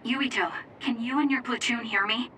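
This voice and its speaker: A woman's voice: a little high, moderate pace, bright, forceful tone, a little hiss or hum. A woman voicing a businesslike young female platoon leader calling in, in an anime-style game. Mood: brisk, businesslike.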